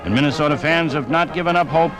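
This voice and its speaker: A man playing a jovial sportsman in a 1950s radio drama, reminiscing in a conversational, animated way. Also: fairly high pitch, quick pace, music in the background, natural voice. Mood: amused storytelling. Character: jovial sportsman reminiscing about football on a fishing trip.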